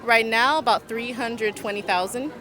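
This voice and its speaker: A woman's voice: on the high side, normal speed. A woman who works as a language teacher, answering street interview questions casually. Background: music and crowd.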